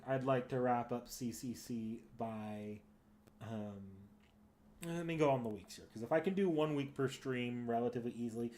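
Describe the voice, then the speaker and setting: A man's voice: medium pitch, a little mellow. A man streaming games, chatting casually and unscripted, hesitant and often correcting himself as he thinks aloud. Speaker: live game streamer.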